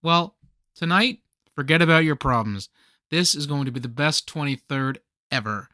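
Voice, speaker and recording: An excitable, melodramatic nerd hosting a party, played by a man in a radio comedy. His voice is bright and swings animatedly through geeky, nostalgic banter. The sound is dry and close. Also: a little high, normal speed, no echo. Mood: hyped, boastful. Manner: theatrical, geeky slacker banter. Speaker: actor in a radio comedy play. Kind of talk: scripted radio play comedy dialogue.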